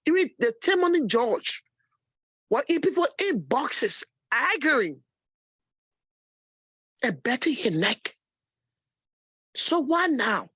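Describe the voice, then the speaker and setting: A woman's voice: high voice, sing-song, takes it slowly, long pauses, fairly bright tone, husky, no echo. A woman phoning in to give casual, opinionated commentary. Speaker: caller. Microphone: phone. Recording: muffled, band-limited phone call.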